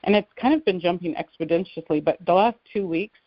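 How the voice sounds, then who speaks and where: Female caller, lowish pitch, earnest explainer, conversational, on a dry, slightly muffled conference line. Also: fairly quick, dry recording. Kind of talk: casual explanatory call-in talk. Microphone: telephone conference line.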